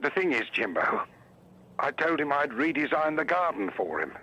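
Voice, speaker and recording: An actor playing a flustered, sheepish garden designer in a radio drama, hesitant and rambling. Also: male voice, on the high side, big pitch swings, normal speed, bright, forceful tone, husky, muffled recording, exaggerated voice.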